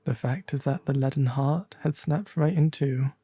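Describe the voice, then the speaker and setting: A man playing a melancholy, genteel owl demon prince, reading a storybook aloud in a soft, refined, breathy ASMR voice. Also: mid-range voice, normal speed, dry recording. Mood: gentle, sombre. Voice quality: breathy, soft, often half-whispered. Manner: refined, gentle, formal diction.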